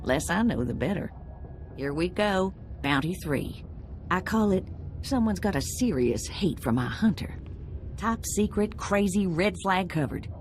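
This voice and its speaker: A folksy, brisk bounty broker, with a lowish voice and plain, slangy speech. Faint ambience sits under the game audio. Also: female voice, expressive, a little hiss or hum.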